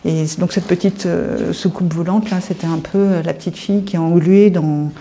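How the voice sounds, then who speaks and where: A woman in her fifties speaks with a very dark, mellow tone and a fairly low pitch. She feels her way through her recollections, pausing and searching for words. The delivery is informal and reflective, like an artist guiding a visitor around her work. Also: fairly even intonation, gravelly, a little hiss or hum. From France, Paris suburbs. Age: 50s. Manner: hesitant, halting, reflective storytelling. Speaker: visual artist being interviewed. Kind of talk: informal guided-tour interview.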